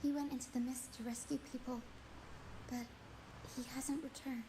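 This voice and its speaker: A woman's voice: high-pitched, flat delivery, normal speed, noisy background, natural voice. A woman playing a friendly, caring young female performer in a video game, earnest and storyteller-like with playful flourishes. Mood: grave, worried. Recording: music behind the voice.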